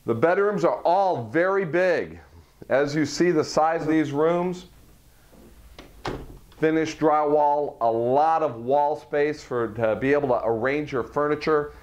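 A man's voice: fairly high pitch, a little mellow. Middle-aged guy showing off a model home, salesy, rattling off features, talking indoors on a clip mic. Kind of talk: walkthrough home tour.

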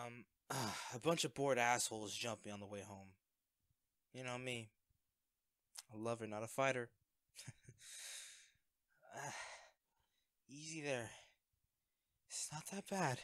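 A man's voice: mid-range voice, slow pace, pauses often, fairly bright tone, no echo. Hesitant man acting a secret superhero, very dry recording. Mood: sheepish, weary. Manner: hesitant, stammering, evasive. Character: secret superhero hiding injuries, awkward, self-effacing, affectionate best friend. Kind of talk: audio roleplay drama, one-sided conversation.

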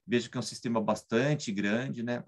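A man's voice: mid-range voice, fairly even intonation, moderate pace. Brazilian man giving an online lecture. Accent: Brazilian Portuguese. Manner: explanatory, frequent tag questions. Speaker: engineering guest lecturer. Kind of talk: online technical lecture over slides. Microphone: webcam on video call.

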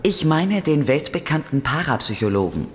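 Female private eye, exasperated, wry, animated, audio drama dialogue, reverberant space. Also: sits low, normal speed. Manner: defensive, wry. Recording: long reverb of a hall.

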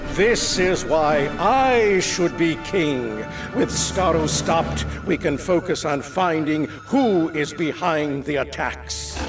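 A man's voice: fairly high pitch, animated, takes it slowly, recorded from a distance, music in the background. A man playing a scheming, scornful royal brother in a video game, smug and haughty. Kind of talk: dramatic video game acting.

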